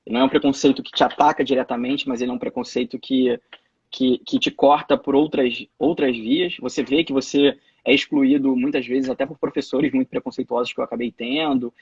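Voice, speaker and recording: A man's voice: mid-range voice, fairly even intonation, fairly quick, a little mellow, breathy edge, no echo, highs cut off. A young Brazilian man in his twenties, a guest telling stories in a casual interview, with many restarts and repeated words. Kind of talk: casual interview over a live video call. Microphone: phone or laptop mic on a live stream.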